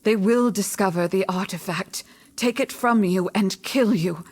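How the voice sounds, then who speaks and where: An actress playing a solemn, all-knowing mystic mentor in a video game, measured and cryptic in manner. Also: female voice, mid-range voice, darkish tone, natural voice. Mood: foreboding. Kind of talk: video game cutscene acting.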